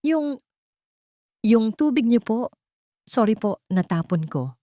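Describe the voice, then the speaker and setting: A woman voicing a sick, devoted young servant who speaks weakly and haltingly in an audiobook drama. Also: on the high side, sing-song, warm tone, dry recording, clean studio sound, pitched above the performer's own voice. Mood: weak, apologetic. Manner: weak, apologetic, halting.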